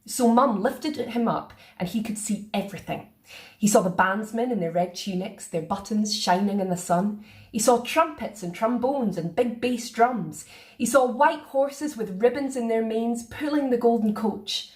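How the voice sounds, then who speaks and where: A woman reading a children's picture book aloud in a playful, expressive way. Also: on the high side, normal speed. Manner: expressive, sing-song. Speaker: storyteller.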